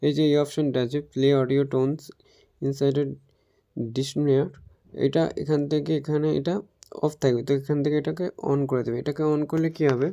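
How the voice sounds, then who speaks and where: A man's voice: on the high side, mellow. A man giving an informal phone tutorial voice-over, explaining step by step in a repetitive, instructional way. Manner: instructional, repetitive, addresses viewers as friends. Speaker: tech tutorial YouTuber.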